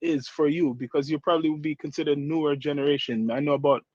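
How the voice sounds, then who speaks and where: A man's voice: on the high side, fairly even intonation, fast talker, darkish tone, no echo, highs cut off. A man hosting a casual podcast interview over a remote call, laid-back with slang greetings. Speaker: podcast host.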